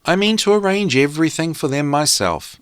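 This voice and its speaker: A vain, bossy young king from a fairy tale, performed by a man reading aloud. He declaims pompously, with pitch that swings widely, in a dry, close sound. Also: lively intonation, fairly quick, smooth, no room sound, natural voice. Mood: self-satisfied. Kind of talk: storybook character reading.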